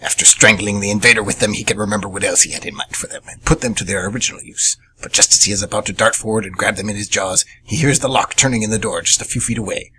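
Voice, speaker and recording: A man's voice: lowish voice, steady tone, fast talker, few pauses, sharp tone, low-quality recording. A man reading a scripted story as a radio theatre narrator. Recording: noticeable background noise.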